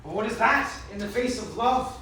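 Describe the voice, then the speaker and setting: Man acting lovesick sailor, bright and echoey. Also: extremely high pitch, lively intonation, normal speed, heavily acted. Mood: pleading. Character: lovesick young sailor pleading earnestly in romantic legend. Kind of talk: student readers theatre stage performance. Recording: echoey room recorded from far off, faint noise.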